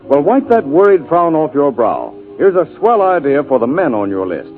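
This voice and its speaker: A man's voice: on the high side, sing-song, moderate pace. Upbeat old radio ad guy, bouncy voice, thin tinny sound w bit of music. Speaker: commercial announcer. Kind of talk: 1940s radio advertisement. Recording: tinny 1940s radio broadcast.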